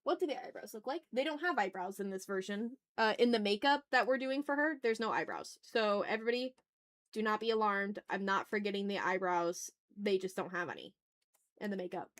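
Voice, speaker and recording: A woman's voice: mid-range voice, expressive, brisk. A woman hosting a casual drawing livestream, playfully chatting with viewers and thinking aloud as she works. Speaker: art livestream host.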